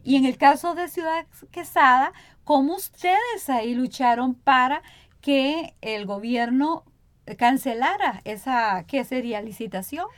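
A woman's voice: sits high, a little slow, dry recording. A woman hosting a conversational radio interview in Spanish, informally putting points back to her guest as questions.

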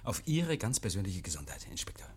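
An actor playing a nervous, guilty man in a 1950s radio play, feigning jovial innocence, stammering and repeating himself, hushed then agitated. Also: on the low side, big pitch swings, a little fast, husky, full character voice. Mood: forced joviality. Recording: vintage 1950s radio recording.

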